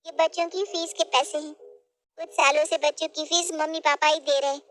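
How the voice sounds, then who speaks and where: A woman's voice: sits high, little pitch movement, a little fast, fairly bright tone, faint music behind. A woman voicing an outspoken, protective young woman in a storybook tale who talks directly and indignantly. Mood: earnest.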